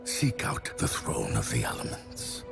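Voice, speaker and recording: A man acting a weary, regretful orc shaman elder in a game cinematic, slow and grave. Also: rumbling low, huge pitch swings, creaky, full character voice. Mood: solemn regret. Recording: loud cinematic music behind.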